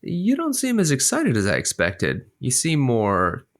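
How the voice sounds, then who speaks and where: A man's voice: medium pitch, wildly swooping pitch, unhurried. A man playing an overfamiliar, flirtatious radio station boss in an audio comedy, with a smarmy, chummy manner.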